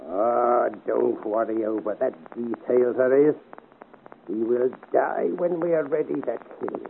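A man's voice: mid-range voice, a little slow, vocal fry. A man acting a cool, scornful, murderous innkeeper in a theatrical 1950s radio serial style. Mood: scornful, menacing. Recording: noisy narrow-band 1950s radio recording.

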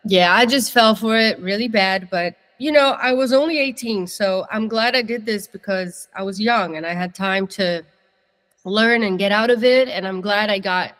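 A woman's voice: medium pitch, moderate pace, a bit bright, big-room sound. Chatty young woman from Italy. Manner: chatty, candid storytelling. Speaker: guest singer-songwriter. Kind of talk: casual interview.

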